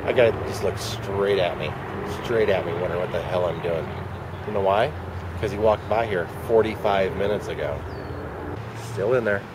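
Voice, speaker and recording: Low-voiced man muttering wry commentary. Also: moderate pace. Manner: dry, wry running commentary, muttered asides. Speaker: vlogger. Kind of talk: rambling vlog commentary. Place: outdoors at a shopping center parking lot. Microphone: handheld camera at arm's length. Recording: handheld camera outdoors with traffic noise. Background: traffic and outdoor ambience.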